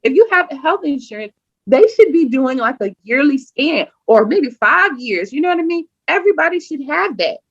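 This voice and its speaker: A woman guest on a podcast, telling a personal story in an animated, emphatic way and often acting out what people said. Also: sits high, normal speed, no room sound. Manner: animated, emphatic, acts out quoted speech. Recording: dull lo-fi sound.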